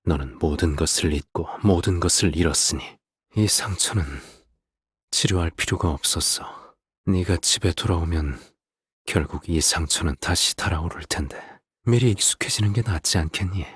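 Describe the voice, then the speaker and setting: Game role: an eerily calm, possessive father; low, very breathy husky voice, lingering pauses. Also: no echo, studio quality. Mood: softly possessive. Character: quiet, possessive, hollow-hearted father of a daughter. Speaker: game character voice. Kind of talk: dramatic game voice acting, slow monologue.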